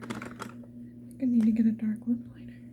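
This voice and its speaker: A woman speaking mostly in a breathy whisper, chatting casually to the viewer in an ASMR get-ready style. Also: on the high side, level tone, normal speed, dark tone. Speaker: ASMR video creator.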